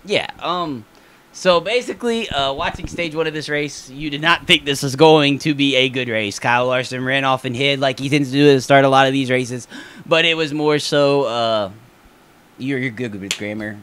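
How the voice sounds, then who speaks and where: A man's voice: medium pitch, normal speed, a bit bright, smooth. A young man co-hosting a casual sports podcast, rambling and joking, laughing often. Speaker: podcast co-host.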